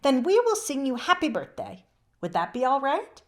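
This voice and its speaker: Woman reader as sincere young girl, practical, tender-hearted, expressive pitch, storybook character. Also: moderate pace. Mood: kindly, earnest. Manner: earnest, inquisitive.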